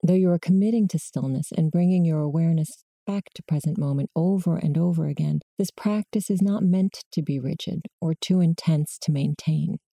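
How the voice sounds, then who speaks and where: A woman guiding a body scan meditation in slow, measured short prompts with long pauses between them. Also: low-pitched, warm tone, no echo, clean studio sound. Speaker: meditation guide.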